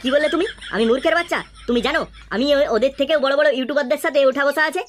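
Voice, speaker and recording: A man acts out an excitable young male cartoon vlogger from a Bengali village, with a West Bengal accent. The voice is very high, pitched up, and races along in naive, boastful exclamations. Clear noise runs through the track. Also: very fast. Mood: indignant. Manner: animated, exclamatory. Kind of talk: comedy cartoon dialogue. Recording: clearly noisy track with clicks.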